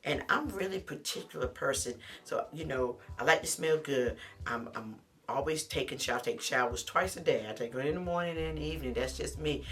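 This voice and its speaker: A middle-aged woman with a deep voice, vlogging to camera in a chatty, animated way and calling the viewer honey. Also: brisk. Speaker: lifestyle vlogger. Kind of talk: casual talk to camera.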